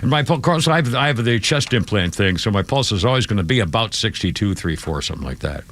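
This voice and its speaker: A man's voice: on the low side, expressive, fast, fairly bright tone. An older man from New England hosting a radio talk show, rambling through stories with many restarts and laughs in a studio. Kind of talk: casual radio talk and storytelling. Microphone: studio microphone.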